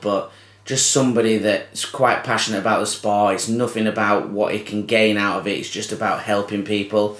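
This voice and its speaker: A man, hosting a fishing channel and giving a heartfelt tribute to camera, halting with many restarts and ums. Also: lowish voice, echoey room. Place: small indoor room with curtains. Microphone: camera close to face.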